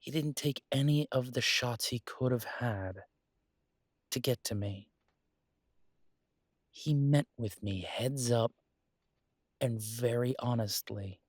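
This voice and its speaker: Male actor as earnest werewolf lover, unhurried delivery, close dry sound, halting pauses, tender and protective, intimate audio drama. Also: mid-range voice, no echo. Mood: serious, thoughtful. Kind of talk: intimate dramatic roleplay monologue to a partner.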